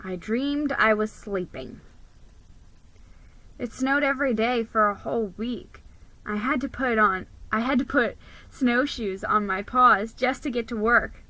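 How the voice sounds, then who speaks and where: A woman narrates a children's story in a dramatic reading style. Her voice sits fairly high and rings bright and sharp. She takes it very slowly, shaping each phrase with deliberate expression. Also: no echo, low-quality recording.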